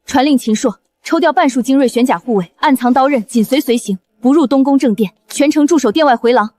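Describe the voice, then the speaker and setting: A woman playing a shrewd, devoted general's wife, formal and measured, in a Chinese costume drama. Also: sits high, a little mellow. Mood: urgent, commanding.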